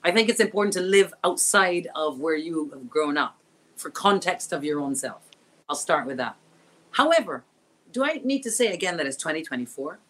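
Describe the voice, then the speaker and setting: A woman's voice: deep voice, lively intonation, moderate pace, fairly bright tone. A woman sportscaster, a guest on a remote interview, talking in an emphatic, reflective way and repeating her key words. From Barbados. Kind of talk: casual interview chat. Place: remote call from home.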